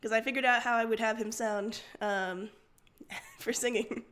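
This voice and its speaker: A woman's voice: a little high, steady tone, normal speed, fairly bright tone. A woman reading a story aloud on a casual livestream, as one of two narrators.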